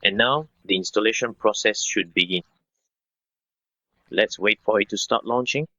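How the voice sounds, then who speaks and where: A man in his thirties narrating a step-by-step software tutorial in an instructional way, speaking directly to viewers. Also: lowish voice, normal speed, no echo, lo-fi sound. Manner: instructional, addresses viewers as guys.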